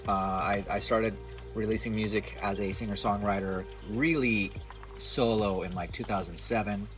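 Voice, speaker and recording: A man in his forties talks to the camera in a loose, rambling way, poking fun at himself. His voice sits very low and sounds nasal. Music plays clearly behind him. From United States. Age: 40s. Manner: rambling, self-deprecating, chatty. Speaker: musician and content creator. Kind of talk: casual talk to camera.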